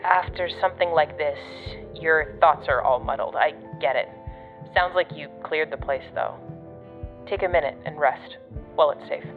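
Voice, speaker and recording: Low, tinny tough radio woman. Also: moderate pace, soft background music. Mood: reassuring. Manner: blunt, incredulous. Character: tough, foul-mouthed woman guide over a watch radio. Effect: radio communicator filter. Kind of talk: audio drama acting. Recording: tinny phone-like radio link.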